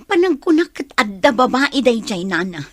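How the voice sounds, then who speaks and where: A Filipino radio-drama wife who suspects she is being betrayed. Her voice sits fairly high in a dry, close sound, and she pleads and accuses with open emotion. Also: sing-song, moderate pace, no echo. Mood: sorrowful. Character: hurt, suspicious wife confronting her straying husband.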